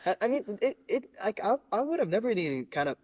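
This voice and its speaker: A male podcast guest with a fairly high-pitched voice, recorded very dry. He tells stories casually and circles around his point, repeating words and halting as he looks for the right phrase. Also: sing-song, darkish tone, dry recording. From Lebanon, raised in Qatar. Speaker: musician interview guest.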